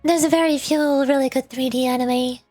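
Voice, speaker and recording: High-pitched woman chatting on a livestream over music. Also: fairly even intonation, normal speed, darkish tone. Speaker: VTuber streamer. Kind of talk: casual livestream chat with viewers. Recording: background music.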